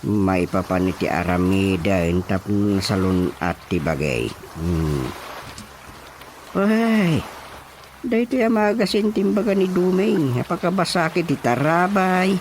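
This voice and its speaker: A man with an Ilocano accent playing a flustered older father in a radio comedy drama, halting and stammering. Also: mid-range voice, expressive, slight background noise. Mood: puzzled reading. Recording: music behind the voice.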